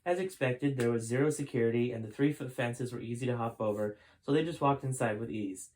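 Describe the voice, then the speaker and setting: A man's voice: mid-range voice, a little fast, darkish tone, poor audio. Male storyteller, informal delivery, mid-range voice, narrating a book aloud. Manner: informal, stops to correct own character voices. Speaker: author reading own book aloud, host.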